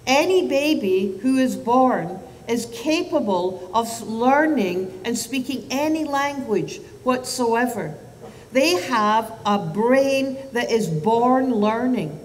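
A Scottish woman in her sixties, a physician giving a public talk to parents at a lectern microphone, animated and asking the audience questions. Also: a little high. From Scotland. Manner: animated, gestures with both hands, asks the audience questions. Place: lecture hall at a lectern. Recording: roomy hall sound from a distance.

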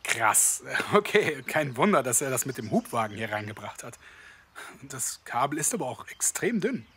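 Man playing an animated, excitable podcaster sidekick. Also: medium pitch, normal speed, a little hiss or hum. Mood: wry, amazed. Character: Robert, excitable grumbling young male podcaster and sidekick. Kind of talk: German audio drama acting as casual vlog.

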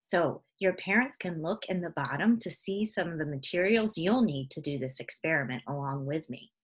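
A woman's voice: low-pitched, animated, moderate pace. A woman in her thirties, a teacher explaining an activity to young children in simple, direct instructions at home. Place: small indoor rooms at home, living room and kitchen. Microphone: device camera at about arm's length or a little further.

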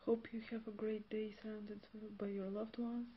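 A woman from North Macedonia giving quick, soft birthday wishes into a webcam indoors. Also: medium pitch, little pitch movement, a little hiss or hum. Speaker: fan sending a birthday message. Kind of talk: brief video greeting. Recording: quiet lo-fi webcam audio.

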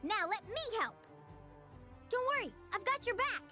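A woman's voice: extremely high pitch, big pitch swings, moderate pace, over music. A woman voicing a small, playful, sassy magical cat in a video game. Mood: eager. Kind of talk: video game character voice lines.

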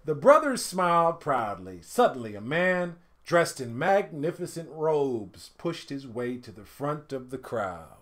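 A middle-aged man reading a picture book aloud in an animated, dramatic storytelling style, pausing to speak to listeners. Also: on the high side. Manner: expressive, dramatic swells and hushed asides to listeners. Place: indoor home studio room.

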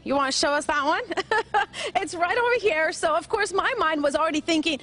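A woman's voice: extremely high pitch, normal speed, pushed and bright. A young woman reporting live for morning TV with a handheld mic in a big museum hall, bubbly and chatty. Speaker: field reporter. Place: large indoor museum hall.

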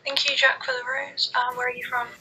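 A woman from England chatting casually on a live stream, speaking softly and often near a whisper as she thanks viewers. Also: high-pitched, little pitch movement, fairly quick, vocal fry, noisy background.

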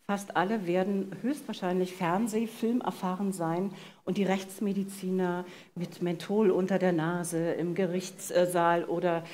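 A woman in her forties with a slightly low voice. She co-hosts a live show in a casual, conversational style, speaking on stage into a headset microphone. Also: flat delivery, a little slow, dark tone, a little hiss or hum. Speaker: co-host and editorial director. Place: stage in a vaulted venue with live audience.

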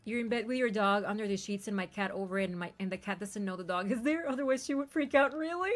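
A woman streamer whose first language is not English, chatting excitedly with raiders and telling stories quickly. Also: medium pitch, faint music behind. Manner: excitable, bubbly, quick storytelling. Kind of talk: casual stream chat.

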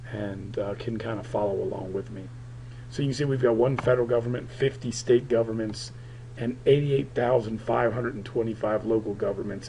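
A male political science teacher giving a recorded screen lecture, his voice raspy while it recovers, talking in a halting way with many fillers. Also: on the low side, a little mellow, slight background noise, rough recording.

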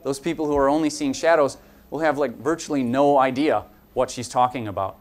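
A young male college instructor lectures in an earnest, explanatory way, moving his hands as he talks. His voice sits at an ordinary middle pitch for a man. It is captured from across a conference room. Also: brisk. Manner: earnest, explanatory, gesturing while talking. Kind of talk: lecture to students.

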